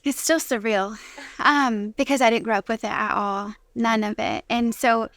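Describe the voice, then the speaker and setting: A woman's voice: a little high, steady tone, moderate pace, a bit bright, no echo, clean studio sound. A woman talking casually as an interview guest, sharing personal experience as a mother. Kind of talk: casual conversational testimony.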